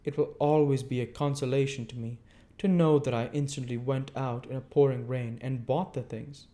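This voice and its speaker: A man's voice: medium pitch, fairly even intonation, normal speed, mellow, pitched above the performer's own voice, close to an everyday voice. A man voicing a self-pitying, indignant older society woman in an audiobook, speaking abruptly and plaintively. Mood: self-righteous indignation.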